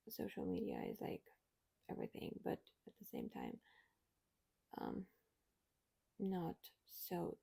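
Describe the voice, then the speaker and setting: Soft creaky-voiced woman vlogger in her 20s. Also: medium pitch. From Iran. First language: Armenian. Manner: soft, hesitant, many fillers and restarts, often near a whisper. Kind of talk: candid personal vlog.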